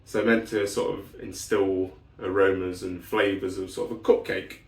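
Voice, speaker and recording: A man's voice: low voice, level tone, normal speed, roomy sound, distant mic. A man reviewing beers in a casual, rambling way, his voice still recovering after an illness. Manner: rambling, lots of ums and restarts. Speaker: beer reviewer. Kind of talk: casual solo beer review.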